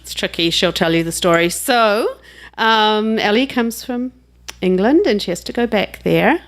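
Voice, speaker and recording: A woman with a New Zealand accent introduces a reader at a small live event. She talks casually and jokes with the crowd, laughing between remarks, in a voice of ordinary middle pitch. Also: lively intonation, unhurried.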